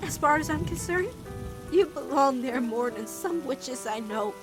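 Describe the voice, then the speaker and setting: A woman voicing a devoted, anxious teenage witch girl in an animated-style comic dub, earnest and halting in delivery. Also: high-pitched, over music. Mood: earnest, pleading.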